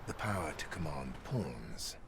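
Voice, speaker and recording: An actor playing a sly, learned crystal collector in a fantasy video game, coaxing and theatrically precise. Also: male voice, very deep voice, very animated, a little slow, gravelly, fairly bright tone, a little hiss or hum, exaggerated voice. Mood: sly, condescending.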